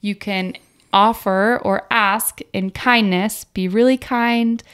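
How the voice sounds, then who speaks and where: Woman in her 30s chatting on a podcast, smooth clear voice, casual advice, mid pitch. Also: fairly even intonation, slow, a bit bright. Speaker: podcast co-host. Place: small indoor room at a table. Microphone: tabletop podcast microphone on stand.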